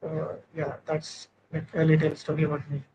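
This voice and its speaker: A young man in his twenties from Odisha with an Indian English accent, talking haltingly with many fillers and restarts while practising English with a tutor. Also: fairly high pitch, slightly rough. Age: 20s. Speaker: English learner. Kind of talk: online speaking practice session. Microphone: video call. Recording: dull lo-fi call audio with steady noise.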